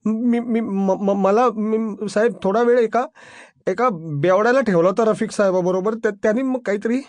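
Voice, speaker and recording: A man's voice: high voice, moderate pace, dry recording, lightly acted. A man voicing a frightened, flustered police constable in a crime audio drama, stammering, whiny and repeating himself as he makes excuses. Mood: panicked, pleading.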